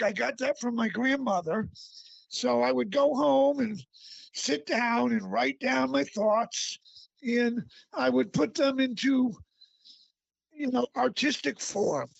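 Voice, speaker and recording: A middle-aged man with a raspy voice, a guest author talking casually in an interview, halting often with fillers. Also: very high voice, no room sound, lo-fi sound. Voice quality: raspy, gravelly.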